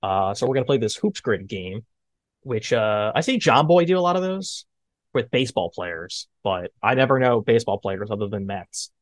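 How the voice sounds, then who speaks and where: Animated man talking sports, dry close sound. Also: lowish voice, normal speed, no echo. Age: 30s. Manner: animated, mimics exclaimed reactions. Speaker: sports podcast host. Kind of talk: casual sports debate chat.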